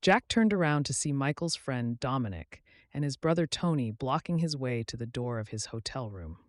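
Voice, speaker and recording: A man reading scripted fiction aloud as a story narrator, in an expressive storybook manner. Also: mid-range voice, moderate pace, no room sound, clean studio sound.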